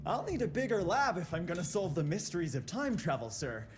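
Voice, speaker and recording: An actor playing an eager, nervous young engineer who rattles off words and stammers. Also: male voice, high voice, sing-song, a little fast, faint music behind.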